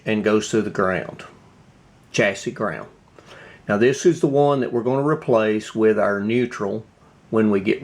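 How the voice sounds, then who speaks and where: Guy doing a casual workbench walkthrough, kinda low voice, really slow and halting. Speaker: hobbyist radio restorer presenting his repair.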